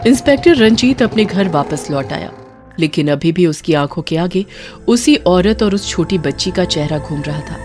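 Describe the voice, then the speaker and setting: A woman's voice: on the low side, animated, normal speed, over music. A woman narrating a scripted horror story in a dramatic storytelling style.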